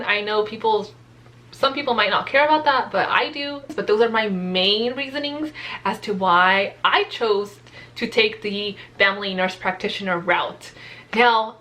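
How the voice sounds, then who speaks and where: A woman's voice: fairly high pitch, sharp tone. Chatty young woman vlogging casually. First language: Spanish. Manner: chatty, earnest, many fillers. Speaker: nurse practitioner student vlogger. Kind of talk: casual vlog to camera.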